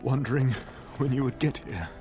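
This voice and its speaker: An actor playing a gravely wounded middle-aged noble father in a video game, halting and labored, loving and dutiful. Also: medium pitch, lively intonation, a little mellow, faint music behind, close to an everyday voice. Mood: pained. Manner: halting, pained, labored delivery. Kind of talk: dramatic video game acting.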